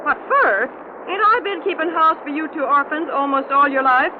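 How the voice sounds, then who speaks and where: An actress playing a fussy, superstitious older American aunt in a radio horror play, folksy and bossy. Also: female voice, high-pitched, lively intonation, normal speed. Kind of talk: 1930s radio horror acting. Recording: muffled old radio broadcast, loud hiss.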